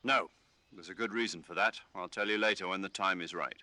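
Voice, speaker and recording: A calm commanding officer. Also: male voice, deep voice, dry recording. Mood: steady. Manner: measured, instructive briefing tone. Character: calm British male commanding officer on the radio. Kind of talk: game dialogue.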